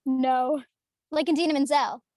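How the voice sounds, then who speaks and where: A high, dryly recorded voice of an ambitious young girl acted by a woman at a table read. She is confident and a bit boastful, with a brash, challenging delivery. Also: fairly even intonation, measured pace, a little mellow, no echo, clean studio sound. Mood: eager, probing.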